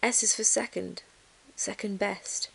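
A woman's voice: medium pitch, fairly even intonation, unhurried, creaky, husky, voice pushed up, full character voice, low-quality recording. Storybook part: woman voicing large dozy bear, grumbling, blunt, dismissive. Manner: groaning, blunt. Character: big sleepy, grumpy, dismissive toy bear.

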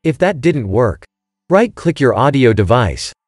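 A man's voice: mid-range voice, very animated, a little slow, darkish tone, dry recording, clean studio sound. A man reading scripted step-by-step instructions in short commands, as a tutorial narrator. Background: background music.

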